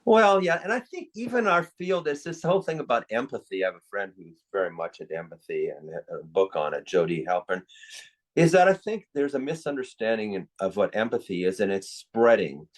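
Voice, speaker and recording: A man's voice: fairly low pitch, very animated, brisk. A man speaking as a psychiatrist and interview guest, candid and opinionated, stressing key words as he talks conversationally.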